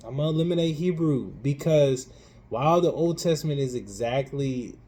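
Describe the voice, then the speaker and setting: A man co-hosting a casual chat, animated and playful, dropping slang as he hypes up his co-host. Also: a little high, normal speed. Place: living in Europe.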